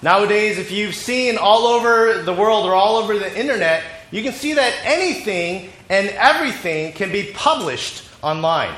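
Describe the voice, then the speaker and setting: A man preaching a sermon in short phrases, pausing for an interpreter, while battling a cold. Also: high voice, animated, fairly bright tone. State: has a cold. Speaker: pastor. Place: church sanctuary. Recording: echoey church hall with faint ambience.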